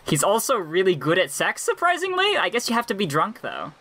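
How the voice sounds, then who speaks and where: A man's voice: high-pitched, wildly swooping pitch, fairly quick, pushed and bright, exaggerated voice. A man voicing a plucky, bossy young heroine in an improvised comedy fan dub, emphatic and self-mocking.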